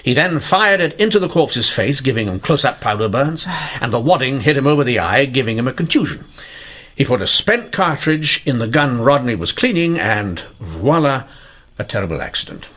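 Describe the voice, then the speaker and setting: A man playing a shrewd police inspector in a period radio mystery, explaining deliberately and theatrically in old-fashioned phrases. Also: medium pitch, very animated, a bit bright. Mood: theatrical deduction. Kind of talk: radio drama acting.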